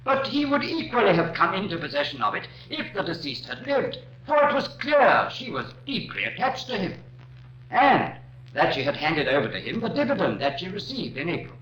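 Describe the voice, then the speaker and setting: Impassioned high-pitched Victorian barrister. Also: male voice, sing-song, moderate pace, a bit bright. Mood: earnest, emphatic. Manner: formal, rhetorical, emphatic. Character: impassioned Victorian defense barrister addressing a jury. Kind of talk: 1950s radio crime drama courtroom oratory. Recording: dull, noisy old radio recording, roomy.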